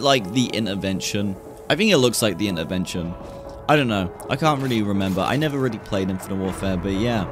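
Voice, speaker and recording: A young man in his twenties talks through gameplay clips in a loose, rambling way, reacting with bursts of hype. His voice sits at an ordinary pitch for a man. He speaks into a studio mic from a small home room, with music playing clearly underneath. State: recovering from losing his voice. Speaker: gaming YouTuber. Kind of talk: casual gameplay commentary. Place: small room, gaming setup. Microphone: studio mic on boom arm, webcam.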